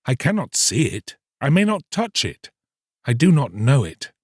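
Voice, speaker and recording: A man's voice: mid-range voice, big pitch swings, unhurried, darkish tone, breathy edge, no room sound, clean studio sound, voice pushed up. A man voicing a lovestruck young fisherman in a storybook, pleading earnestly in impassioned, archaic speech. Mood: elated, resolute.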